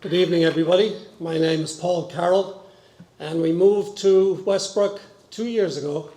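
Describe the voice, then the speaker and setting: High-pitched elderly Irish man in echoey hall. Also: steady tone, moderate pace, dark tone. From Dublin, Ireland. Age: 70s. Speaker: board candidate giving a speech. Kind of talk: personal introduction speech to an audience. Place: large meeting hall with stage, indoors. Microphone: podium gooseneck microphone. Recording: echoey hall, podium microphone.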